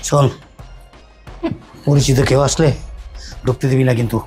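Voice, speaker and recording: An actor playing a cruel, threatening man of the household, with a gruff, menacing voice. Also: high voice, animated, fast talker, music in the background. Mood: commanding.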